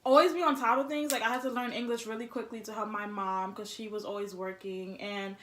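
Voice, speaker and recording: A woman's voice: mid-range voice, steady tone, brisk, a bit bright, a little hiss or hum. A woman, chatting candidly and informally as a vlog host. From born in Haiti, immigrated to the United States at four. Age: early 20s. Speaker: vlog host, college student. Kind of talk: casual personal chat. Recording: echoey room.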